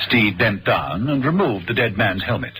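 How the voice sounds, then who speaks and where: A man's voice: fairly low pitch, lively intonation, moderate pace, low-quality recording. A man narrating a vintage radio thriller in a dramatic, suspenseful storytelling manner. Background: loud music.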